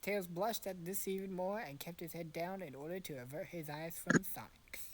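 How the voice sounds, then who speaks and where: A woman's voice: fairly low pitch, normal speed, slight background noise, low-quality recording. A woman narrating a story informally for friends, as an amateur reader with exasperated asides. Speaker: narrator of a fan fiction reading.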